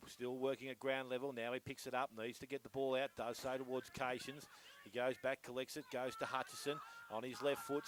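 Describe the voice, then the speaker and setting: A man with an Australian accent giving live play-by-play commentary on an Australian rules football match. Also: medium pitch. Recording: noisy outdoor sports broadcast.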